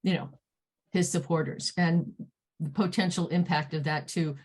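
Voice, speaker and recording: Hoarse lady running a zoom panel, lowish voice, keeps clearing her throat. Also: fairly even intonation, normal speed, a little mellow. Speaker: panel moderator. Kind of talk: online panel discussion.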